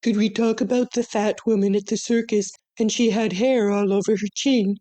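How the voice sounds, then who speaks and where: A woman's voice: medium pitch, steady tone, normal speed, mellow, lightly acted. A woman voicing a curious young schoolboy asking a rambling, earnest question. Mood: eager.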